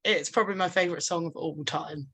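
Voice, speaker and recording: Bright-voiced, breezy woman chatting informally. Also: fairly low pitch, fast, husky. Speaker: interview guest, band singer. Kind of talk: casual chat.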